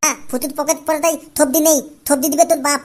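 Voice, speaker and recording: A performer voicing a hot-tempered, boastful young male street food seller in a comic cartoon, with a squeaky, lisping, childlike voice and a scolding manner. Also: steady tone, rapid-fire, background noise, lightly acted. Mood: furious, scolding. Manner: ranting, scolding. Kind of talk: comic Bengali cartoon dialogue. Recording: loud music behind the voice. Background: music.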